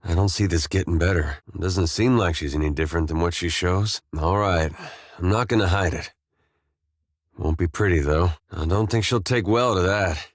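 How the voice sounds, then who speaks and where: An actor playing an easygoing, wry, war-weary farmer turned adventurer in a video game, talking in a folksy, understated way with short, plain phrases. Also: male voice, low-pitched, moderate pace, no echo, clean studio sound. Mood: resigned, candid. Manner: folksy, laid-back, understated, drawling short clipped phrases. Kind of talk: video game companion dialogue.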